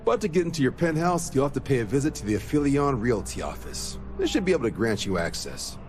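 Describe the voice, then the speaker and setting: Guy acting as a hearty commander, very lively pitch, informal but in charge, game music faintly behind. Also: fast talker, natural voice. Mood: congratulatory. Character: affable male military commander, approving and businesslike. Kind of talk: video game dialogue.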